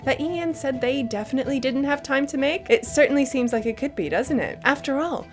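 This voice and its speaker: A woman's voice: sits high, lively intonation, fairly quick, faint music behind. A woman co-hosting a gaming news show, wry and playfully emphatic, moving between narration and casual banter. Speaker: show co-host.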